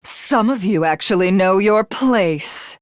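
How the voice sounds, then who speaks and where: A woman's voice: medium pitch, lively intonation, takes it slowly, no room sound, clean studio sound. A woman voicing a haughty, sadistic alien villainess in a video game, obsessively lovestruck and self-glorifying, with a grandiose, condescending, theatrical delivery. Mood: smug, taunting.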